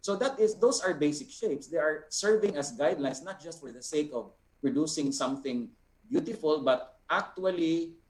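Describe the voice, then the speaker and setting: A man with a Visayan Filipino accent explaining as an art teacher, unscripted and deliberate, going step by step with tag questions, in a roomy, echoing recording. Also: fairly high pitch. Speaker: art teacher, guest speaker.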